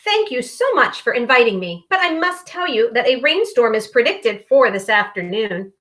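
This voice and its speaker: Woman voicing a prim, high-voiced polite porcupine. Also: sing-song, normal speed, a bit bright. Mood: courteous. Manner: courteous, proper.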